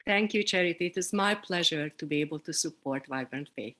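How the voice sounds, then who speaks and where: A middle-aged woman with a Hungarian accent, speaking reflectively as an interview guest, pausing between short phrases. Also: low-pitched, fairly bright tone. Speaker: interview guest, seminary program director.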